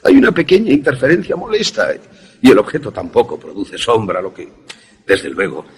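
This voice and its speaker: An actor playing an older professor in a suspense radio drama, an obsessive inventor who explains things in a deliberate, didactic, husky way. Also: male voice, medium pitch, huge pitch swings, exaggerated voice. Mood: troubled, rueful. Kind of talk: Spanish-language suspense radio drama acting.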